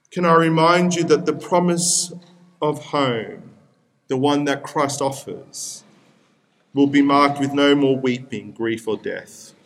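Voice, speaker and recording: A man's voice: on the high side, a bit bright, a little hiss or hum. A young man from Peru whose first language is Spanish, preaching a sermon as pastor in an earnest way with repeated appeals.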